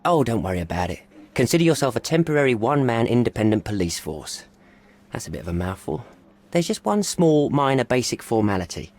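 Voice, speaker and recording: A put-upon desk policeman for a video game, chatty and wry. His mid-pitched voice bounces about expressively in an informal, affable way. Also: sing-song, fairly quick, darkish tone. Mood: breezy. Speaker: police officer.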